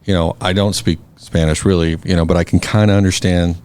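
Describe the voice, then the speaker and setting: A man's voice: sits low, little pitch movement, a little fast, a little mellow, smooth. A man speaking as a podcast guest, talking things through in a reflective, easygoing way. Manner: reflective, many fillers and restarts. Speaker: guest, scholarship foundation board president. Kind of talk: casual podcast conversation.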